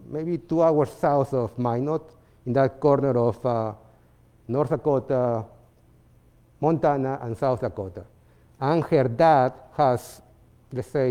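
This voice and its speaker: A man from Peru with a Peruvian Spanish accent, presenting his artwork in a seminar talk, halting with fillers and restarts. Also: mid-range voice, mellow. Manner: halting, many fillers and restarts, non-native phrasing. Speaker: visiting artist giving a seminar talk.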